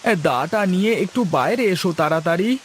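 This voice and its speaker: Cartoon village husband, acted by a man: helpful and earnest, higher male register, noisy rainy track. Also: lively intonation, moderate pace. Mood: busy, concerned. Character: kindly, practical village husband, helpful to his neighbours. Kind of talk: Bengali moral-story cartoon dialogue.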